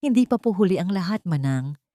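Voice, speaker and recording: A woman's voice: on the low side, expressive, fairly quick, dark tone, dry recording, studio quality, lightly acted. A woman voicing a respectful young housemaid in an audio drama, pleading, urgent and determined.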